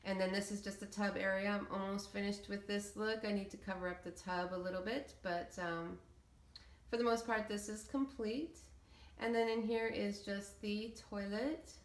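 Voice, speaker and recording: A woman's voice: medium pitch, steady tone, normal speed. A woman giving a casual, hesitant, meandering walkthrough commentary of a game build. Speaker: video creator showing game settlement builds. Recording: roomy, echoey room sound.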